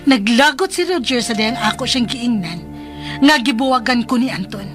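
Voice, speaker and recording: A woman's voice: fairly high pitch, expressive, normal speed, fairly bright tone, soft background music. A woman acting in a radio drama as a scheming, vengeful villainess, delivering menacing monologues. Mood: gloating menace. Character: scheming, vengeful villainess plotting with hired goons.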